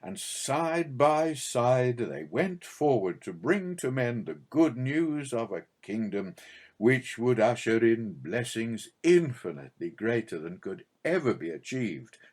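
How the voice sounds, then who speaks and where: A man reading a religious audiobook as scripted narration, in a measured, formal and expressive way. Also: lowish voice.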